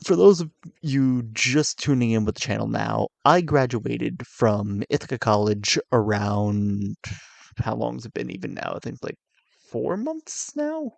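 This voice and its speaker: A tired young man in his twenties, coming down with a cold, with a low raspy voice, talking hesitantly and candidly to his viewers. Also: very animated, a little slow, dry recording. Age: 20s. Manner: hesitant, soft-spoken, many fillers and restarts. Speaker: YouTuber. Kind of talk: candid confessional vlog.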